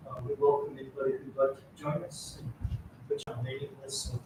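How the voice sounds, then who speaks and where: A man's voice: medium pitch, steady tone, fast. A man on a town board introducing interns at a public meeting, rambling with many fillers. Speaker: board member presenting interns. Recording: distant echoey mic, noise.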